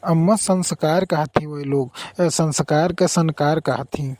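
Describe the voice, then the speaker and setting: A young village groom acted by a man with an Awadhi accent, speaking plainly in cartoon comedy dialogue. His voice is a little high for a man and soft-edged, recorded dry. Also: steady tone, a little slow, no echo, close to an everyday voice. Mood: correcting. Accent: Awadhi, rural northern India. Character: young village bridegroom, plain-spoken son correcting his mother.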